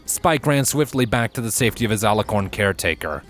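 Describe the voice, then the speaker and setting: Casting: male audiobook-style narrator, lowish pitch, scripted fantasy storytelling, music underneath. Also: lively intonation, quick pace, fairly bright tone. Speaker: fan fiction reader, narrator. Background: background music.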